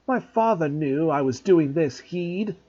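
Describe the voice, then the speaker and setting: A man voicing an arrogant spoiled schoolboy, high and whining with a nervous edge in a husky tone, on a dull lo-fi recording. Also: animated, measured pace, dark tone.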